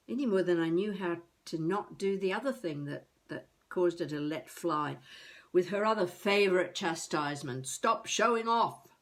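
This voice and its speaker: Slow-talking, wry older woman played by an actress. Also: mid-range voice, natural voice. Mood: reflective. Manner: musing, halting, talking to herself. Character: elderly wannabe novelist woman, wry, rambling, self-mocking. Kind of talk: confessional monologue as a video diary.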